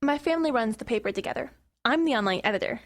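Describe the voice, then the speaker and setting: Lively, cheeky young daughter. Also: female voice, a little high, fast talker. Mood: wry, breezy. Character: cheeky young daughter, blunt and teasing online editor. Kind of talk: radio comedy-mystery acting.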